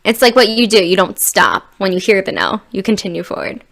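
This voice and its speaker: A woman's voice: medium pitch, sing-song, brisk, gravelly, fairly bright tone. A woman hosting an interview, bubbly and affirming, laughing often between questions. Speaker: interview host. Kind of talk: casual interview chat.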